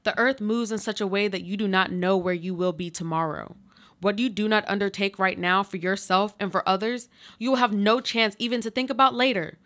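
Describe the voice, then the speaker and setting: A woman acting in a live radio play as a trapped woman stubbornly clinging to life, speaking in solemn, deliberate monologue. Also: medium pitch, steady tone, a bit bright, close to an everyday voice. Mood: stern, insistent.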